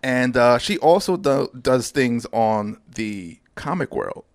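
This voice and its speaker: A man's voice: mid-range voice, animated, slow. A man co-hosting a casual radio interview, talking in slang and repeating phrases for emphasis. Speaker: radio show co-host.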